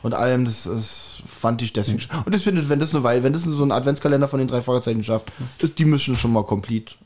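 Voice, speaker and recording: A man's voice: medium pitch, fast, a little mellow. A man co-hosting a podcast in casual, conversational chat. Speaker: podcast co-host.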